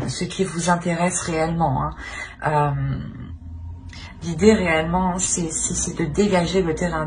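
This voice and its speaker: A woman giving an unscripted tarot reading to viewers, feeling her way through the meaning by repeating and rephrasing. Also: deep voice. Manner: hesitant, searching, repeats and rephrases phrases. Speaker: tarot reader, channel host. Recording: clear background noise.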